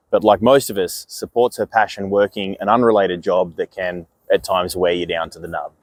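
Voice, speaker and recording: A man's voice: on the low side, no echo. A man hosting an interview show, moving from scripted narration into playful, wry conversation. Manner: articulate, wry, playful asides. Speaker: podcast host and interviewer. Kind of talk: scripted narration then casual interview chat.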